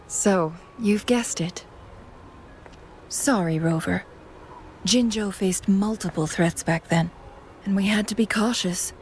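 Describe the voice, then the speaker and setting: Woman playing a slow, courtly young strategist. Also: medium pitch, a little mellow, slight background noise, natural voice. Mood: gracious, soft. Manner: formal, measured, polite. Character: gracious, composed young female strategist, courtly and subtly teasing. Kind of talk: video game voice acting.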